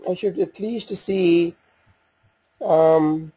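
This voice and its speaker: A man presenting an informal software walkthrough, talking haltingly as he thinks aloud while working through the screens. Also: high-pitched, steady tone, slightly rough, no echo.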